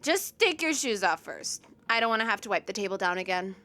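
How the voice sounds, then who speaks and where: An actress playing a squeamish, playful young rink worker in a comedy audio drama. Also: female voice, mid-range voice, sing-song, fairly quick, pushed and bright. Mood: exasperated. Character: young woman rink worker, squeamish true-crime fan, playful friend.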